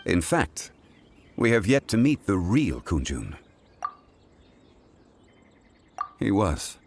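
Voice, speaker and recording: A man voicing a calm, weary ancient god in human form, speaking formally and deliberately in measured video game dialogue. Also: sits low, slight background noise, heavily acted. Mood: solemn, reminiscing.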